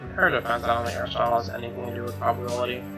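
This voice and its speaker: A man reading a visual novel's narration aloud as a let's play host, wry and expressive, over faint music. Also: mid-range voice, racing. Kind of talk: reading game narration with asides. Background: game music.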